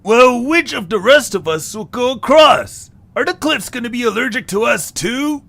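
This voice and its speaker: A man's voice: very high voice, animated, normal speed, creaky, sharp tone, heavily acted. A man playing a cautious, skeptical young cartoon creature in an amateur audio drama, with a sardonic, questioning manner. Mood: nervous, cautious.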